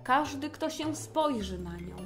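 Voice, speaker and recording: Slow, high-voiced woman storyteller. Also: faint music behind. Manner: expressive storytelling. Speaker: narrator. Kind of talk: rhymed fairy-tale narration for children.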